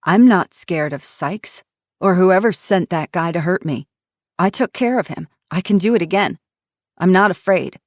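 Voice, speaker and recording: A woman's voice: lowish voice, animated, moderate pace, no room sound, clean studio sound, lightly acted. A woman reading a defiant, stubborn young woman's lines, insistent and raised.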